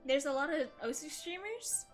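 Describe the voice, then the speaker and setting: A woman's voice: high voice, animated, moderate pace. A young woman live-streaming a game, chatting and reacting casually in an offhand, hesitant way. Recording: clear game music behind.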